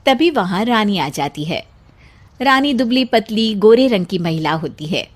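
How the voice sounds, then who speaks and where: A woman's voice: mid-range voice, expressive, measured pace. A woman narrating a scripted children's story as its storyteller.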